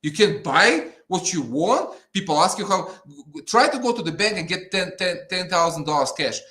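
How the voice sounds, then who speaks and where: A man's voice: high voice, big pitch swings, moderate pace, sharp tone. A man with an Eastern European accent ranting in conversation, blunt and fired-up, swearing and repeating himself. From Ukraine, former Soviet Union. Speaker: guest, petition campaigner. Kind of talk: impassioned conversational rant. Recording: roomy, echoing sound.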